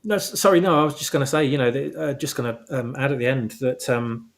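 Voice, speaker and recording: A man's voice: mid-range voice, steady tone, fast. Emphatic man explaining his story. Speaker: guest author of fan fiction. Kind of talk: casual interview chat about his own story.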